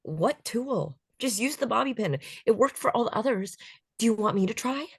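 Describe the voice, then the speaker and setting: A woman's voice: on the high side, animated, normal speed, breathy edge, no room sound, studio quality. A woman playing an impatient young beauty queen held captive, in a horror screenplay table read. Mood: impatient, puzzled.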